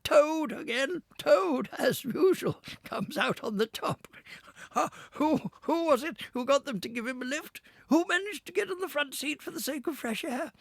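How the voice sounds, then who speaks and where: A man voicing a vain, reckless male toad who crows and brags in a high voice. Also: wildly swooping pitch, moderate pace, creaky, fairly bright tone, husky, no echo, exaggerated voice. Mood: boastful. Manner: high, crowing, show-off.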